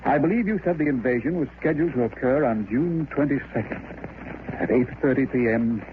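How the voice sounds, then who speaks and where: Vintage radio villain, male actor, smug and measured, politely menacing; thin, noisy broadcast sound. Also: medium pitch, expressive, natural voice. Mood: smug, amused. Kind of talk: 1950s radio drama acting. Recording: tinny, noisy old radio broadcast recording.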